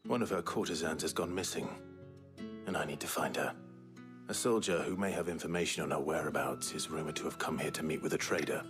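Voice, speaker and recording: An actor playing a grim, terse warrior on a search in a video game, brooding and low-key. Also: male voice, a little fast, music in the background. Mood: guarded. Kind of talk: video game cutscene acting.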